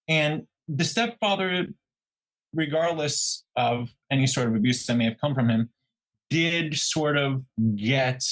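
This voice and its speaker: A man co-hosting a true crime podcast, talking casually in a halting, musing way as he thinks out loud. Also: medium pitch, rough recording. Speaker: podcast co-host.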